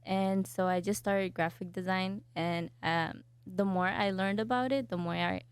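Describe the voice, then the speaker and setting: A young woman from El Salvador, a graphic design student, answering interview questions in a soft, hesitant way with many fillers. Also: mid-range voice, fairly even intonation, measured pace, clear, resonant tone, no echo.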